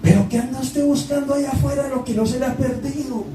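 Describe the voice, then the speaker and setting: A man's voice: very high voice, fast, echoey room, distant mic, music in the background. A man with a Colombian accent preaching a sermon to his congregation, impassioned and calling out to the people in front of him. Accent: Colombian Spanish. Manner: impassioned, exclaiming, direct address to the congregation. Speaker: pastor. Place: church hall.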